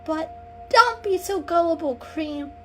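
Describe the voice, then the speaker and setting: Lady doing a stuck-up girl cat from a storybook, high voice, scoffing and snooty. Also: expressive, slow pace, sharp tone, faint music behind, heavily acted. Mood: scornful. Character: haughty, scornful female cat, sharp-tongued and distrustful.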